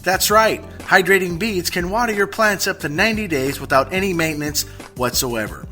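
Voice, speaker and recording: A male commercial announcer with a fairly high, bright and forceful voice. He gives a chummy scripted sales pitch over faint music. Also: normal speed. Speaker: advertisement announcer. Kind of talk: upbeat scripted radio commercial.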